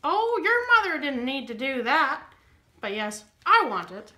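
Fake-granny wolf woman performing: high, slow, sugary old-lady cooing, puppet-show villain. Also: big pitch swings, bright, forceful tone, roomy sound, full character voice. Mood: falsely sweet. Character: wolf posing as a sweet old grandmother.

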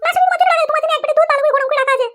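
A woman voicing a sugary, obedient young wife in a comic cartoon, gushing through a pitched-up effect. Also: racing, a bit bright. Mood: fussing. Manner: gushing, sing-song, fussing over husband.

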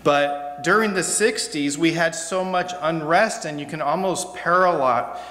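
Slow, echoey American man preaching. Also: on the high side, pushed and bright. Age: middle-aged. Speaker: guest preacher. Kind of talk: reflective sermon. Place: church sanctuary, at a pulpit. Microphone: gooseneck pulpit microphone. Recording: echoey hall, noticeable hum.

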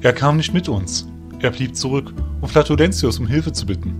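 A man playing a doubtful, sarcastic young fantasy hero, incredulous and animated, in an audio drama. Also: mid-range voice, a little slow. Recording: music behind the voice.

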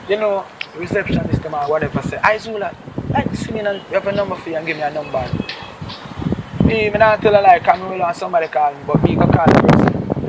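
A young man with a Jamaican accent spins a long, rambling story in patois, his voice sitting a little high. He is recorded outdoors on a rooftop. Loud wind and passing vehicles run under every word. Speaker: reggae singer, guest. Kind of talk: casual interview storytelling. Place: rooftop outdoors overlooking a city. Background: wind and traffic.

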